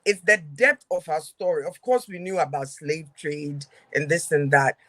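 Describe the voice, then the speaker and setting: A woman's voice: low voice, moderate pace, a bit bright, no room sound. A woman from Ghana with a Ghanaian accent, hosting a conversational interview and speaking emphatically, checking in with her listener. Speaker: talk show host. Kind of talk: conversational interview, affirming the guest.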